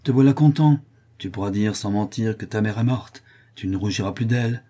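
Man acting a husky, vengeful condemned widow. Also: lowish voice, brisk, dark tone, lightly acted. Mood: bitter irony. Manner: cold fury, savage irony, scornful. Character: fierce, sneering, vengeful condemned widow, a criminal mother.

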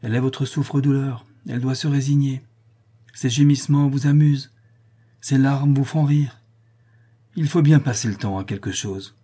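A man doing a gentle, pleading young woman. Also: mid-range voice, moderate pace, husky, voice pushed up, lightly acted. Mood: sad, bitter. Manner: soft, pleading, calm.

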